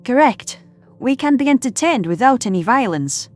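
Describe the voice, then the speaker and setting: Man putting on an upbeat, high-voiced woman astronaut. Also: sing-song, moderate pace, faint music behind. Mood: encouraging. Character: welcoming, upbeat female human astronaut.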